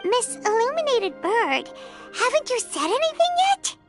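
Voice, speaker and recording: A woman's voice: very high voice, big pitch swings, unhurried, exaggerated voice. An actress playing an eager, innocent little girl in a video game, chirpy and guileless. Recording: loud music behind the voice.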